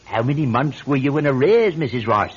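An actor playing a pompous, precise English detective with a British accent and a husky voice in a radio drama. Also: male voice, medium pitch, lively intonation, a little fast. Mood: triumphant. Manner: theatrical, accusatory, precise. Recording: dull lo-fi radio recording.

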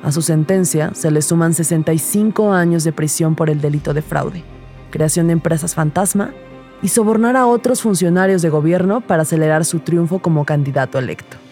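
A woman's voice: low voice, fairly quick, dark tone, faint music behind. A woman playing a rookie detective who narrates her case in a scripted crime audio drama. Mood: reflective.

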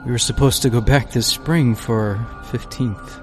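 A man playing an anguished astronaut recording a halting log in an audio drama, grieving and horrified. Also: mid-range voice, steady tone, soft background music, close to an everyday voice. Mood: wistful. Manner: halting, repeats himself.

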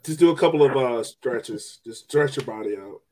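A man hosting a casual sports podcast, talking in a jokey, animated way. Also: medium pitch, normal speed. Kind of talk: casual fan podcast chat.

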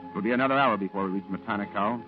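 An actor playing a grave, compassionate military chaplain who narrates a war diary in measured 1940s radio drama style. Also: male voice, fairly low pitch, close to an everyday voice. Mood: solemn. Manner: solemn, measured delivery. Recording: tinny band-limited old radio, music behind.

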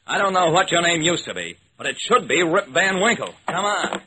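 Casting: level-headed, wry investigator narrating vintage radio mystery, clipped delivery, animated pitch, noisy old recording. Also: male voice, normal speed, heavily acted. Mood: soothing, searching. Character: wry, level-headed male investigator telling his case.

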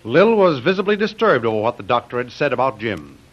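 A man's voice: mid-range voice, very animated, brisk. A man narrating and announcing a 1930s radio serial in a dramatic, declamatory style. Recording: dull vintage radio recording. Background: music.

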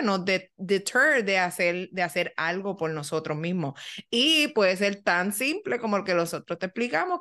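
A woman's voice: mid-range voice, a bit bright. Chatty bilingual woman co-host. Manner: chatty, mixes English words, restarts often. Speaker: podcast co-host. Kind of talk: casual bilingual chat.

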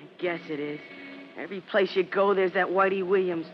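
An actor playing a troubled, sulky American schoolboy in an old-time radio drama, hesitant and grumbling. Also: male voice, high voice, fairly even intonation, fairly quick, lightly acted. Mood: glum, grudging. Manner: hesitant, grumbling, boyish exclamations. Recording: muffled, hissy old radio recording.

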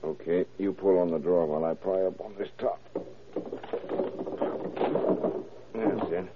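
An actor playing a gruff, probing police detective with clipped, dryly sarcastic delivery in a 1940s radio crime drama. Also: male voice, low-pitched, fairly quick, very noisy, natural voice. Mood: brisk questioning. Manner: clipped, commanding, questioning. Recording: muffled, band-limited old radio recording.

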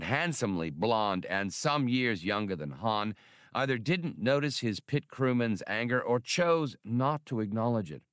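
A man narrating an audiobook in a measured, steady storytelling manner. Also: on the low side, no room sound, clean studio sound.

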